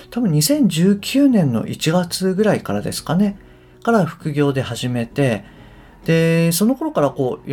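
A man's voice: fairly high pitch, a little mellow. A middle-aged man talking casually and reflectively on his own podcast, often phrasing his thoughts tentatively. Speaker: podcast host and English coach. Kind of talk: casual solo podcast talk.

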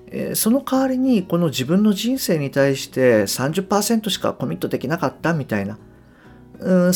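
A man with a mid-pitched voice hosts a reflective, motivational solo talk. He addresses the listener directly, feeling for his words and letting phrase endings fall away softly. Faint guitar music plays behind him. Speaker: podcast host.

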